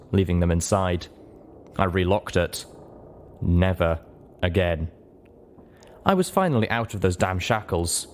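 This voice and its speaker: A man's voice: low voice, a little hiss or hum. A man reading a first-person story aloud as a dramatic audiobook narration. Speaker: audiobook reader.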